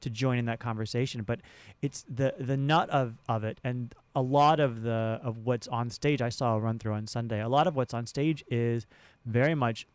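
A man speaking conversationally as an interview guest, halting and often restarting his phrases. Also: mid-range voice, fairly even intonation, clear, resonant tone, dry recording. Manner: halting, with repeated words and restarts. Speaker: sound designer and guest.

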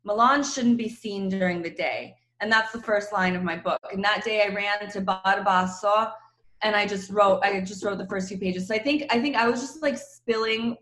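A woman's voice: medium pitch, moderate pace. Chatty woman author on an echoey line. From Croatia. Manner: chatty, laughs often, animated storytelling. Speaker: novelist guest. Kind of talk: casual phone interview. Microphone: phone call.

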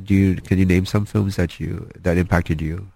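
A man hosting an interview, asking halting questions and often backing the guest with "yeah". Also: low-pitched, little pitch movement, vocal fry, a little mellow, dry recording. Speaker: interview host. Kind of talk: casual interview conversation.

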